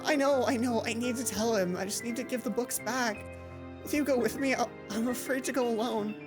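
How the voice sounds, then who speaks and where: A woman's voice: fairly high pitch, brisk, music in the background, pitched above the performer's own voice, close to an everyday voice. A woman voicing an anxious, guilt-ridden teenage girl in a visual novel, pleading and stammering. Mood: fragile, afraid.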